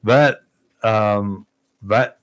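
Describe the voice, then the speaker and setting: A man's voice: lowish voice, drawn-out words. A man, a guest on a local radio talk show, speaking hesitantly with many restarts. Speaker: insurance agent guest. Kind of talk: casual radio chat. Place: radio studio.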